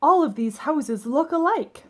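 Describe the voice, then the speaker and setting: A woman's voice: high voice, expressive, unhurried, pitched above the performer's own voice. A woman reading the part of a cheerful, eager, literal-minded babysitter in a children's storybook, with emphatic, exclaiming delivery.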